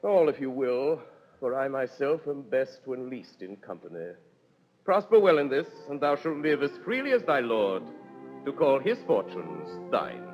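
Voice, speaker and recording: An actor playing a lovesick, commanding duke in grand, declamatory 1930s radio Shakespeare style. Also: male voice, medium pitch, big pitch swings, a little slow, faint music behind. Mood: ardent. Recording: muffled 1930s radio, long reverb.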